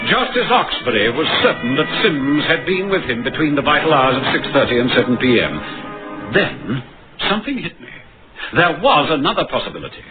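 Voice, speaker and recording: An actor playing a dogged, middle-aged police inspector in 1950s radio drama style. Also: male voice, medium pitch, natural voice. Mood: measured. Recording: muffled, noisy vintage radio broadcast.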